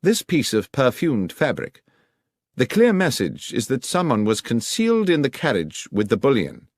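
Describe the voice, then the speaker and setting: Man voicing an incisive, animated Victorian gentleman detective. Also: mid-range voice, no room sound, studio quality, pitched above the performer's own voice. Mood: probing, assured. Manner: precise, deliberate, questioning. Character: brilliant, composed Victorian male detective, probing and assertive.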